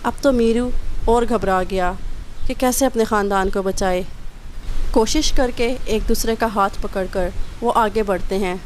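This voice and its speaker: A woman's voice: medium pitch, a little slow, slight background noise, poor audio. A woman narrator reading a scripted children's story.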